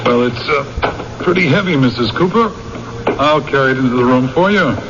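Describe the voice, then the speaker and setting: A man's voice: medium pitch, expressive, fairly quick, background noise, close to an everyday voice. An actor playing a polite but wary bachelor bank clerk who tells his own story wryly in a 1970s radio mystery. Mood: courteous, flustered. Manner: polite, hesitant with the customer, wry in narration. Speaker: actor and first-person narrator in a radio mystery. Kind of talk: 1970s radio drama acting, noir narration. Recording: muffled vintage radio recording with music.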